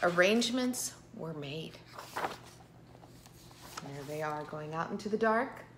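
A middle-aged woman reading a picture book aloud to children with expressive, dramatic emphasis. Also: on the low side, slow pace, background noise. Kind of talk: animated children's storybook read-aloud. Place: indoor living room with piano.

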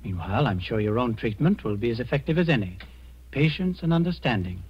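Authoritative male doctor, husky, formal 1940s radio delivery, muffled old recording. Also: mid-range voice, animated. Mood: measured. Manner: measured, formal, hesitant pauses.

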